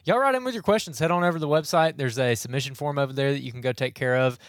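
A man's voice: mid-range voice, racing, no echo, studio quality. A man hosting a podcast, upbeat and easygoing in casual chat. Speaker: podcast host.

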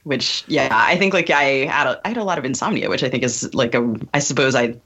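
A woman's voice: rumbling low, fairly quick, fairly bright tone, husky. A woman chatting casually as a podcast host, with wry, mock-formal humor. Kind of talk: casual conversational podcast chat.

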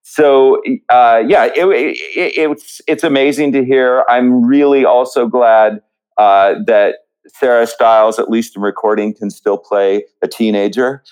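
Man, mid-range pitch, slow pace, halting delivery, reflective interview talk. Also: fairly even intonation, darkish tone, smooth, poor audio. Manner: halting, frequently pausing mid-thought. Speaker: musical theatre writer.

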